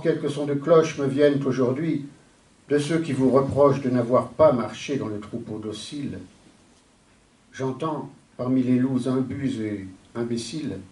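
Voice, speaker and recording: A man recites poetry in a solemn, declamatory way, in measured phrases with deliberate pauses. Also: medium pitch, a little mellow. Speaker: poet reciting a poem. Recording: echoey, distant from the mic.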